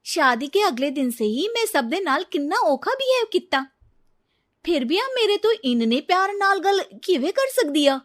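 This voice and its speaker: A woman's voice: very high-pitched, very animated, normal speed, voice pushed up. A woman voicing a headstrong, scheming young bride with a Punjabi accent, emphatic and defiant, in a story.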